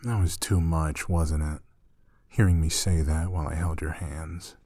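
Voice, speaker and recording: A young man acting as a gentle, teasing roommate in an intimate ASMR roleplay, speaking soothingly and coaxingly, close and soft. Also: extremely low pitch, fairly even intonation, a little slow, dry recording. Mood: tender teasing. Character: gentle, teasing male roommate soothing a shy catgirl-like companion.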